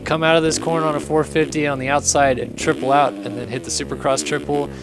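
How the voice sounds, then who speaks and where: Young guy w a hoarse raspy voice, lots of fry, chill chatty walkthrough, bit of music faint behind. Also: a little high, flat delivery, quick pace, low-quality recording. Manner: casual, chatty, apologetic, upbeat. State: hoarse, raspy from losing his voice. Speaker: host. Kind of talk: casual game track walkthrough commentary.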